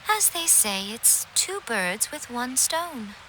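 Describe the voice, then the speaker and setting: A woman playing a sly, persuasive, teasing festival organizer in a video game, speaking in a measured and eloquent way. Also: fairly high pitch, sing-song, background noise. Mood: coy persuasion.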